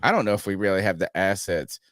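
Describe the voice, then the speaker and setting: Low voice guy hosting a football podcast, rambly, dry close mic. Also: expressive, fast, a bit bright, no echo. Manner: rambling, swears freely. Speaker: podcast host. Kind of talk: casual sports talk.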